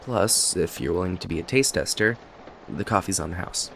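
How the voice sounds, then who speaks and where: A man's voice: deep voice, moderate pace, gravelly. A man acting a talkative, kindly cafe owner in an ASMR roleplay, chatting to the listener in a friendly, hesitant, apologetic ramble. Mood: gently concerned, hesitant. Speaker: voice actor in an audio roleplay. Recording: steady rain noise behind voice. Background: rain and cafe ambience.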